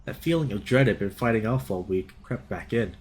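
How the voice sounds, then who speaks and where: A man's voice: lowish voice, fairly quick, a little mellow. A young man hosting a let's play, reading a visual novel aloud expressively and reacting as he goes. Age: young adult.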